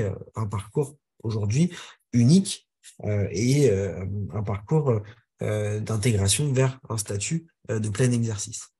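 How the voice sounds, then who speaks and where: A man giving a webinar presentation over a video call, speaking haltingly with many filler sounds and restarts. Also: medium pitch, a little mellow, breathy edge.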